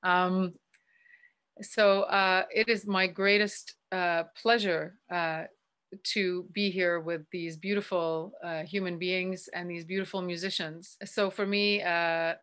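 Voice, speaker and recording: Woman, forties, slightly low voice, playful, chatty, webcam on a video call. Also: steady tone, a bit bright, smooth. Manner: chatty and playful, with drawn-out fillers. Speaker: guest dancer and singer. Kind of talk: casual video call chat. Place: indoors in front of a green screen.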